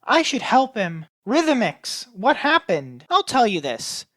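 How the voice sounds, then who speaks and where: A woman's voice: a little high, very animated, slow pace. A woman reading scripted lines aloud in a declamatory way, with sing-song emphasis on her phrases. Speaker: amateur animator recording voice lines.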